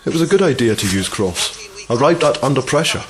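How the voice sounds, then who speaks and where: A man's voice: mid-range voice, expressive, brisk, noisy background, lightly acted. A man playing a breezy, self-assured prime minister. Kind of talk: audio drama acting.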